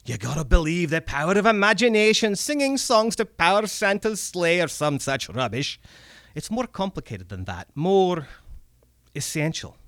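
Man putting on a gruff red cap goblin. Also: high voice, big pitch swings, fairly bright tone, no echo, studio quality, voice pushed up, full character voice. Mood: wistful. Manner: gruff, folksy, drawn-out swearing.

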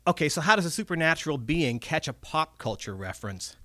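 A jittery young American guy who stammers in disbelief and snaps back with sarcasm, as a man plays him in a story reading. His voice runs a bit high and bounces around a lot. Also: very animated, brisk, pushed and bright, no room sound. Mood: sarcastic. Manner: stammering, incredulous.

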